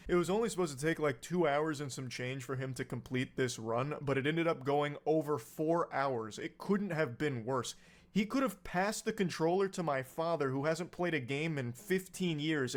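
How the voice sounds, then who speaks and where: A man narrating a scripted commentary video in a sarcastic, energetic storytelling manner. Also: mid-range voice.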